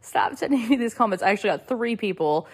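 A young woman hosting a casual podcast, a little hoarse from a cold, chatting loosely with lots of fillers and small laughs. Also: fairly high pitch, lively intonation, fast talker. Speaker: podcast host. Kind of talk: casual solo podcast chat.

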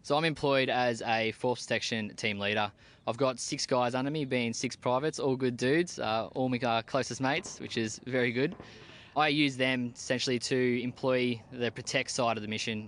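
A young Australian man, an army team leader, answering interview questions outdoors in a plain-spoken, relaxed way with casual slang. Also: medium pitch, a little fast, a little hiss or hum. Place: outdoors at a military base.

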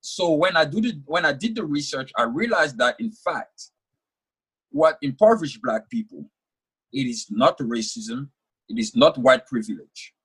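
A man's voice: mid-range voice, expressive, a little slow, a bit bright, slightly rough, poor audio. A young man whose first language is French, speaking earnestly as an author in an interview and often repeating words while he thinks. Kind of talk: conversational interview.

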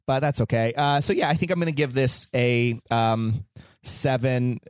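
A man hosting a podcast in casual chat, chatty and quick to laugh, with hedging asides. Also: mid-range voice, steady tone, clear, resonant tone, no room sound, clean studio sound. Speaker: podcast host.

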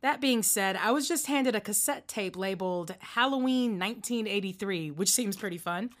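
An actress playing a late-night radio host, friendly with callers and exasperated by interruptions. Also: female voice, mid-range voice, normal speed, close to an everyday voice. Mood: wry. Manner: exasperated, scolding. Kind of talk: audio drama acting.

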